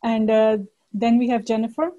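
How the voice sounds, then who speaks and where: A woman's voice: on the high side, fairly even intonation, moderate pace, mellow, muffled recording. A woman moderating an online panel discussion on a video call, speaking with many hesitant fillers.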